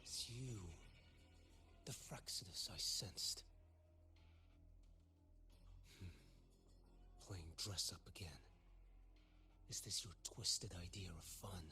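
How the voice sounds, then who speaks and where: A very deep-voiced, stern warrior from a video game. He speaks in short, grave, commanding phrases with a brooding coldness, over faint music. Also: takes it slowly, long pauses, bright, forceful tone, exaggerated voice. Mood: cold suspicion. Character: stern, brooding swordsman, a cold avenging warrior.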